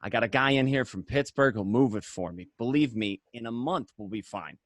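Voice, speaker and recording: A man's voice: medium pitch, a little fast, no echo, clean studio sound, natural voice. A man acting as a defensive, pleading jailed mobster husband, insistent and placating, in a radio drama.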